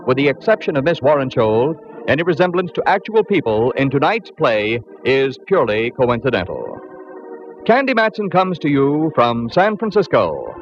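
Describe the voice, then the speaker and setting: A man's voice: mid-range voice, sing-song, moderate pace. A male radio announcer reading the closing credits of a 1940s radio drama in a polished delivery. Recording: dull old radio broadcast with music.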